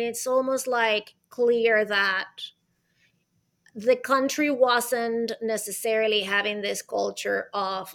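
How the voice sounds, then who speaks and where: Bright-voiced animated Venezuelan-born woman. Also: a little high. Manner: animated, chatty, many fillers like "right?" and "I mean". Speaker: guest, arts consultant and author. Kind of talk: podcast interview.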